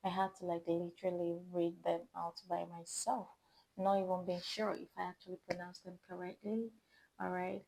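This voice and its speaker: A woman's voice: lowish voice, creaky, darkish tone, low-quality recording. Chatty woman reacting casually. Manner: chatty, thinking aloud with fillers. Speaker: reaction video host. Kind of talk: casual chat.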